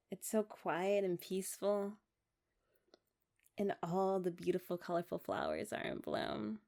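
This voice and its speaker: A young woman plays a loving young wife in a romantic ASMR roleplay. She has a medium-pitched voice and speaks tenderly and haltingly, straight to her partner. Her delivery is sentimental and girlishly eager. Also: a little mellow. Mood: tender, playful. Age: young adult.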